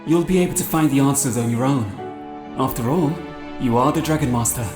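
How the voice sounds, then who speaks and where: A man's voice: mid-range voice, brisk, lightly acted. Man playing noble game mentor, serene, kindly, echoing voice, music behind. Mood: gentle, solemn. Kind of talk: fan-dub fantasy game voice acting.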